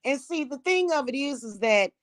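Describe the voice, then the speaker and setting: A woman's voice: high voice, animated, normal speed, dry recording, studio quality. A woman from Missouri with a Missouri accent, talking to her viewers in a blunt, scornful, mocking monologue. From Kansas City, Missouri. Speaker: spiritual practitioner and channel host.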